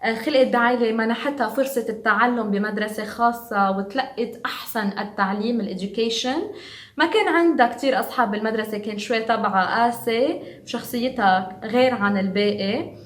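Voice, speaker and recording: A Lebanese woman hosts an interview programme, presenting and translating for her viewers. Her voice sits on the high side and she talks quickly. The room around her is noticeably echoey. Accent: Lebanese Arabic.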